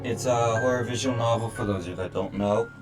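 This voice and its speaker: A man's voice: medium pitch, fairly quick. A man doing casual let's-play commentary as a game streamer, reading visual novel text aloud with foul-mouthed, irreverent asides. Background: game music.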